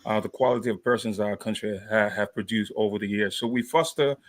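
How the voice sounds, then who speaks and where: A man hosting a podcast interview, talking haltingly with many fillers. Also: lowish voice. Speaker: podcast host. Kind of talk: interview introduction.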